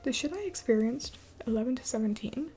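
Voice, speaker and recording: A woman's voice: a little high, lively intonation, brisk, warm tone, faint music behind. A woman in her twenties hosting a late-night live Q&A, rambling with many fillers and often dropping to a whisper. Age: 20s. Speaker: livestream host.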